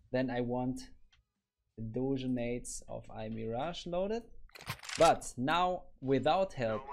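A man in his thirties, a gaming coach, talking through a game tutorial in an upbeat, explanatory way from a home office on a studio mic. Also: mid-range voice. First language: German. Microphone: boom arm studio mic.

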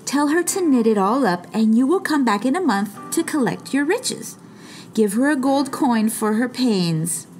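A woman voicing a clever, calm young schemer in a storybook, measured and pausing for effect. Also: fairly high pitch, soft background music, voice pushed up. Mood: coaxing.

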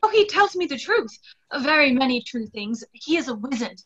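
Woman acting bright, high-voiced dreamy young woman. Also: sing-song, normal speed, breathy edge, exaggerated voice. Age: 20s. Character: dreamy, fanciful young woman who believes in fairies. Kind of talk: stage play reading over video call. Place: small indoor room, video call. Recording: dull video-call audio.

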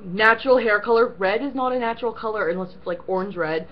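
A young woman co-hosting a casual, profane rant, animated and full of fillers like "um" and "like". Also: medium pitch, fast talker, highs cut off, a little hiss or hum. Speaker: co-host. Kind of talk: casual ranting chat.

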